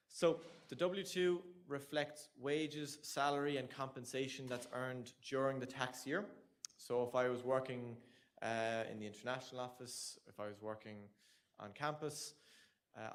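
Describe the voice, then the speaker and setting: An Irish man speaks to a room of international students at a tax workshop. He explains the rules in a relaxed, conversational way, with dry asides and questions for the audience. His voice sits at an ordinary middle pitch for a man. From Ireland. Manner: conversational and joking, addressing the room. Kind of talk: live presentation to students.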